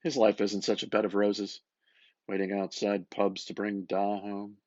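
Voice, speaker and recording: A man giving an expressive, theatrical reading of literary prose, lingering over his phrases in a slightly muffled recording. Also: lowish voice, a little slow, no room sound. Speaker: reader, artist. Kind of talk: dramatic literary reading aloud.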